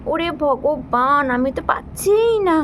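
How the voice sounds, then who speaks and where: A woman's voice: sits high, expressive, a little slow, fairly bright tone, slight background noise. A woman voicing a naive, chatty young daughter in a children's doll story, with a plaintive manner. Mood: straining.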